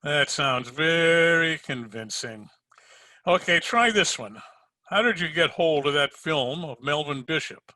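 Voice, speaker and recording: Guy doing a smart-aleck radio detective, real sharp bright voice, dry sound, sarcastic and slangy. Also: fairly high pitch, expressive, measured pace, dry recording. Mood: pressing, sardonic. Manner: sardonic, needling, slangy. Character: wisecracking, sardonic male private detective narrator. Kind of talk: old-time radio detective narration and acting. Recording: home recording over video call.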